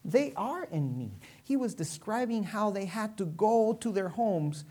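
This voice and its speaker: A woman from Venezuela preaching a sermon to a congregation, using rhetorical questions and dramatic emphasis and pauses. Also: medium pitch, big pitch swings, mellow.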